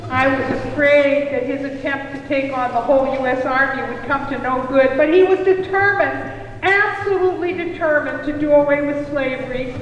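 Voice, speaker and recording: A woman playing a kindly motherly cafe keeper in a live puppet show, telling tales in a deliberate, expressive way. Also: high voice, highs cut off, lightly acted. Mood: earnest recounting. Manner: deliberate, expressive storytelling to children. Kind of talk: live storytelling puppet theatre. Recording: echoey hall from a distance, music behind.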